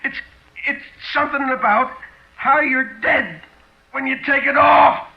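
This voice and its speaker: An actor playing a frightened, plaintive small-time crook in a halting, anxious 1940s radio drama style. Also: male voice, very high voice, vocal fry, exaggerated voice. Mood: frightened, pleading. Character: frightened, whining small-time crook, now invisible, craving drink. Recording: dull 1940s radio broadcast recording.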